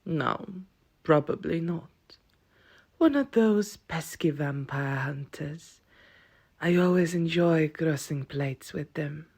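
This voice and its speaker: A woman playing an ancient, world-weary Viking-born vampire aunt in an audio roleplay, wry and stern, with a husky, breathy voice and archaic wording. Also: sits low, expressive, a little mellow, heavily acted. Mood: wry amusement. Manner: slow, dry, archaic wording.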